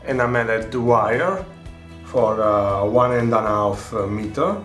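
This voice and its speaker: A middle-aged man from Italy with an Italian accent, slowly showing the parts of a radio kit, often pausing on filler sounds. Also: lowish voice, a bit bright. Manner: slow, careful, many filler sounds. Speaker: hobbyist presenter. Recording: echoey room, faint music behind.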